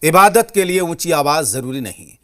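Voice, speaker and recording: A man's voice: a little high, animated, normal speed, bright, forceful tone. A man with an Indian accent reading a scripted news introduction as an anchor.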